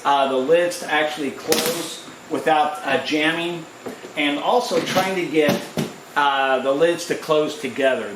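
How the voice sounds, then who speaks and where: A man who runs live sound, giving a plain, practical walkthrough in a casual vlog, pausing often with "uh". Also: fairly high pitch, echoey room, noisy background. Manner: plain, practical, frequent "uh" pauses. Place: outdoors at a brewery gig.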